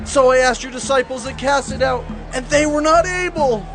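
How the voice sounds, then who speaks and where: High-pitched, anguished pleading father. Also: moderate pace, fairly bright tone. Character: desperate pleading father of a tormented boy. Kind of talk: dramatized audio scripture. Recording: music and crowd behind voice.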